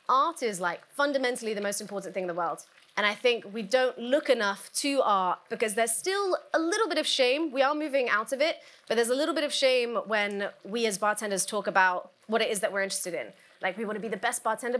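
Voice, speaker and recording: Bright chatty woman on stage. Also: on the high side. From South West England. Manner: chatty, earnest, many fillers. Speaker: bar education advisor. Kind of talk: conference talk. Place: conference stage.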